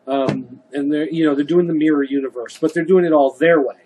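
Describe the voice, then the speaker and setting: A man's voice: on the high side, fairly even intonation, fairly quick, a little mellow, slight background noise, rough recording. Talkative comic-fan man explaining things. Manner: knowledgeable, explains at length. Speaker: podcast co-host. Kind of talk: casual chat about comics.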